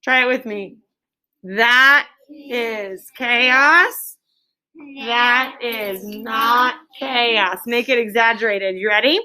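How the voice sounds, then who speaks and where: An American woman speaks as an elementary school teacher leading an interactive lesson. Her voice is high and bright, and she instructs clearly, modeling things for her young pupils. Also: rough recording.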